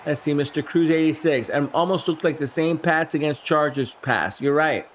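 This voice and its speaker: A man with a somewhat high voice, hoarse and worn down by the flu, calls a video game football simulation play by play for his live stream. He shouts when a big play hits and drifts into easy chat with his viewers between snaps. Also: normal speed, a little hiss or hum.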